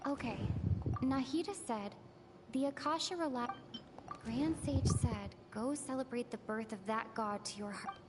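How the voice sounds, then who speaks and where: A woman livestreamer reading game dialogue aloud in a halting way, half to herself. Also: fairly high pitch, over loud music. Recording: noisy game livestream with clicks.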